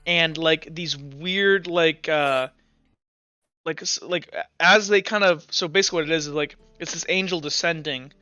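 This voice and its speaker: A man with a clear, slightly high voice runs a tabletop roleplay as game master. He describes scenes haltingly, circling back and repeating himself. The recording is a bit dull, with chant music underneath. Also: bright, forceful tone, no room sound. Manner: halting, filler-heavy descriptions with frequent repeats and "like". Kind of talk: improvised tabletop roleplay narration. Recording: muffled lo-fi mic with music. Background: Latin chant music.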